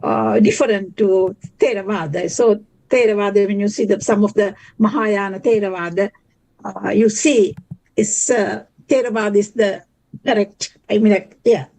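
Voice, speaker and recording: A woman taking part in an online class, chatting casually with hesitant, halting phrasing. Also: medium pitch, steady tone, dark tone, no room sound. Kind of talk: casual chat. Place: living in London, joining remotely. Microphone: video call.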